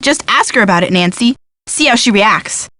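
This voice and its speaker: A woman's voice: medium pitch, expressive, normal speed, pushed and bright, dry recording. An actress playing a practical, sceptical young woman in a video game, with a sarcastic, teasing manner. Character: sardonic, practical young tomboyish woman, sleuth's sceptical friend. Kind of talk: scripted video game dialogue.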